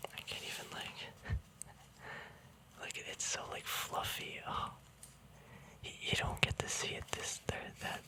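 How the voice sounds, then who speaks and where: A man speaking in a soft, breathy whisper, chatting casually and informally in a relaxed ASMR style as he handles cards. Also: low-quality recording. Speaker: ASMR card game vlogger.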